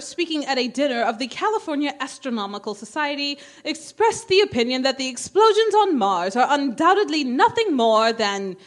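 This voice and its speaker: An actress playing a composed radio studio announcer reading bulletins in a formal, measured way for a radio drama. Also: female voice, high voice. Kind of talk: 1930s radio news announcing.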